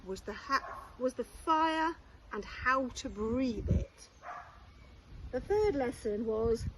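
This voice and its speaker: A woman reading a children's storybook aloud expressively, like a primary school teacher, with measured, deliberate phrasing. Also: high-pitched, lively intonation, slight background noise, poor audio.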